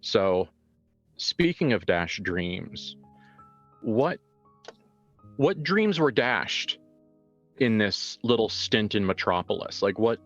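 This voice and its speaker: A man's voice: on the low side, animated, takes it slowly, long pauses, fairly bright tone. A man speaking as a game master, improvising in a wordy, searching way and putting probing questions to players. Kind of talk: improvised tabletop game discussion. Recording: slightly dull audio, music behind. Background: music.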